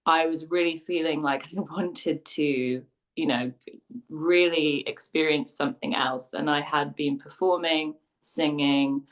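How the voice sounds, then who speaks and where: A woman with a low voice tells her story softly and thoughtfully. She talks over a video call as co-host of a live online class. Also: fairly even intonation, unhurried. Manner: soft, reflective, with small laughs. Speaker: sound healer and co-host.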